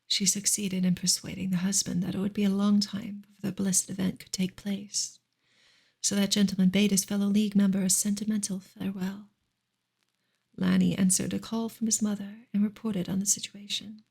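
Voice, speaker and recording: A woman reading a novel aloud as soft ASMR, breathy and partly whispered, in a gentle, measured storytelling manner. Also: mid-range voice. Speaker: ASMR reader and channel host.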